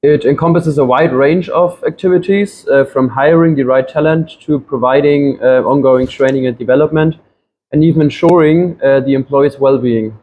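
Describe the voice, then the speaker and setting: A man's voice: mid-range voice, normal speed, narrow, tinny audio, a little hiss or hum. A man, giving a classroom presentation with frequent ums and restarts. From Germany. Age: 20s. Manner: hesitant, many ums and restarts. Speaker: student presenter.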